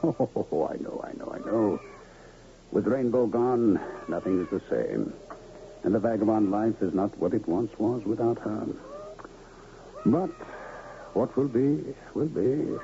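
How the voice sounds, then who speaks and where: A man's voice: lowish voice, gravelly, mellow, full character voice. A male actor playing a grandiose, genial middle-aged travelling medicine man in a 1970s radio drama, florid and theatrical. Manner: florid, theatrical, wordy showman with chuckles. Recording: muffled, noisy old radio broadcast.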